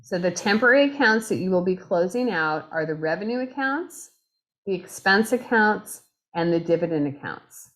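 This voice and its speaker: Woman instructor, slightly low voice, losing her voice, chatty lecture style that keeps asking if you follow. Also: animated, normal speed, fairly bright tone. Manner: conversational, checks in with "right?" and "okay?". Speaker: accounting instructor. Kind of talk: recorded lecture.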